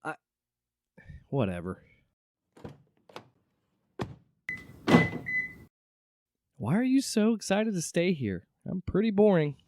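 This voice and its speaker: An actor playing a wry, exasperated agency director in an audio drama, with a casual way of talking. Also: male voice, sits high, huge pitch swings, moderate pace, dark tone, dry recording, studio quality. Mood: awkward.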